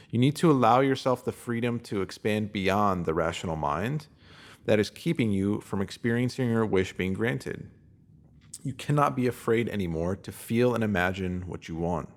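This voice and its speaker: A man with a fairly low-pitched voice reads scripted self-help material. His delivery is measured and instructive, with emphasis placed on the important words. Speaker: narrator reading a written post.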